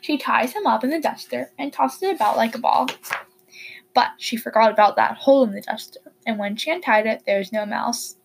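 A woman's voice: a little high, brisk, creaky, a little hiss or hum, poor audio. A woman reading a children's story aloud as a narrator. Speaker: story reader.

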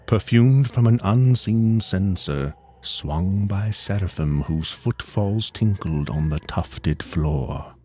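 A man giving a solemn, measured, dramatic reading as narrator of a dark stories podcast. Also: low-pitched, slight background noise.

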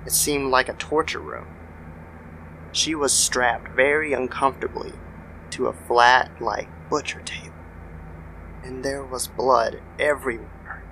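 A man's voice: medium pitch, fairly even intonation, unhurried, gravelly, bright, forceful tone, a little hiss or hum, low-quality recording. A man narrating a scripted horror story in the style of old-time radio. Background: background music.

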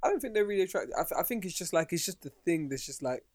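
A man's voice: high voice, sing-song, very fast, darkish tone, no room sound. A young man co-hosting a podcast, teasing his friend in laid-back, slangy chat. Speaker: podcast co-host. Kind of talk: casual chat.

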